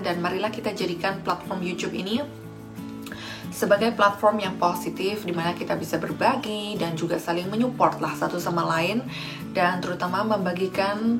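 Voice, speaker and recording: Indonesian woman vlogging over soft music. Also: mid-range voice. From Malang, East Java, Indonesia. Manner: addresses viewers directly, mixes in English phrases. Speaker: vlogger and host. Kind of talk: casual vlog talk to camera.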